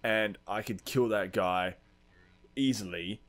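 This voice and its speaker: A man's voice: lowish voice, takes it slowly, a bit bright. A man hosting a podcast, chatting casually and arguing his points in a blunt, sweary way. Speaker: podcast host. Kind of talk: casual chat, debating film characters.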